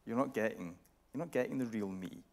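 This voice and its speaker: A man's voice: fairly low pitch, a little fast. A man interviewing a guest on stage in a conversational style, as a TV reporter. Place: radio theatre stage.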